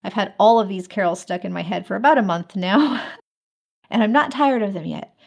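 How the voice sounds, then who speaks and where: A woman's voice: mid-range voice, moderate pace, creaky, darkish tone. A woman hosting a fan event, announcing casually in a chatty way and laughing often. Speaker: host of an online fan event.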